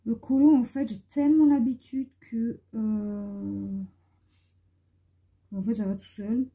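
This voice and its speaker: A hoarse woman vlogger chatting casually and meanderingly to her viewers into a phone at home. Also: a little high, steady tone, slow pace, warm tone, muffled recording. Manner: rambling, meandering talk.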